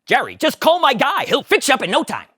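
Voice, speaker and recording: A woman voicing an eccentric, excitable male neighbor who pitches schemes at speed. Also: slightly rough, full character voice. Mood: excited. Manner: rapid, excitable salesman pitch. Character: fast-talking eccentric man, scheming neighbor.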